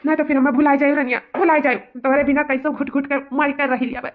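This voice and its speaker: A woman's voice: high voice, flat delivery, quick pace, natural voice. A woman voicing a melodramatic, wheedling young male lover in a cartoon comedy, repeating phrases with an eastern Uttar Pradesh accent. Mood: anguished. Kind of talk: cartoon family comedy acting. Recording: tinny, phone-like narrow audio.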